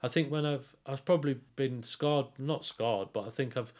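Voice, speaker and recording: A man's voice: mid-range voice, normal speed, darkish tone. Guy on a review podcast, chatty, opinionated, emphatic, keeps rewording stuff. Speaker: theatre review podcast co-host. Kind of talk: casual critical discussion.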